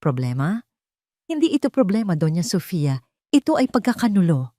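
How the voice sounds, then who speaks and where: A woman's voice: on the low side, big pitch swings, moderate pace, mellow, dry recording, studio quality. A woman voicing a hurt, angry young wife who accuses and pleads in a Tagalog audio drama. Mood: furious. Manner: accusing, pleading rhetorical questions.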